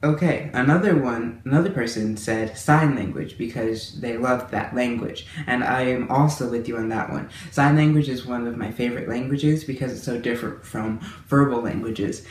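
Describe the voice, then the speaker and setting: A man giving a casual monthly language-learning update to his viewers, talking in a friendly, chatty way with small restarts. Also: medium pitch. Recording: roomy echo with faint room noise.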